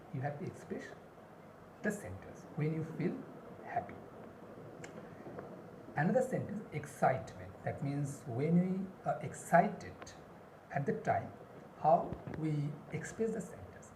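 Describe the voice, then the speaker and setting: A woman teaching spoken English in a deliberate, instructional way, repeating key phrases for emphasis. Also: low-pitched, sing-song. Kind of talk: instructional lesson with numbered points and examples. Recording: roomy echo, clear background noise.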